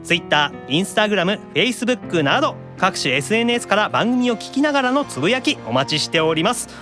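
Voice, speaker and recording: Man, radio host, very lively intonation, quick talker, music playing behind. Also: high-pitched. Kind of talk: upbeat radio show chat.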